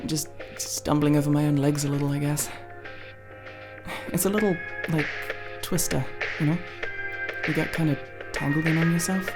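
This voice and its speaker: Low, halting woman acting a tomboyish young friend. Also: flat delivery, music in the background, natural voice. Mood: awkward, casual. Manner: halting, hesitant, mumbly casual delivery with trailing pauses. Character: awkward tomboyish young woman, tsundere friend, tired and fond. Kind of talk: intimate ASMR roleplay acting.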